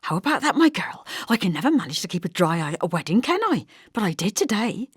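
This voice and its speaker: A woman's voice: medium pitch, sing-song, quick pace, breathy edge, dry recording, studio quality. A woman voicing a proud, chatty mother in an audiobook, lilting and half-whispered. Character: proud, chatty mother speaking to her daughter.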